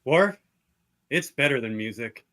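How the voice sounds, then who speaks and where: A man's voice: medium pitch, lively intonation, a little slow, bright, forceful tone. A man playing an easygoing, jazz-loving first officer in a radio play, casual and breezy.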